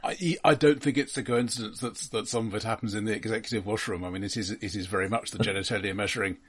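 A man co-hosting a casual film discussion, halting and stammering through restarts, with dry humour. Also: fairly low pitch, steady tone, slightly rough. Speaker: podcast co-host.

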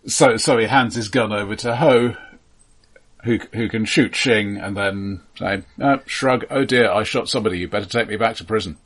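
A man with a fairly low, somewhat husky voice talks through a film in a casual co-host's manner. He restarts and doubles words as he goes. His humour stays dry and understated. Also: fairly bright tone. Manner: halting, repeats words and restarts often. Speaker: film podcast co-host.

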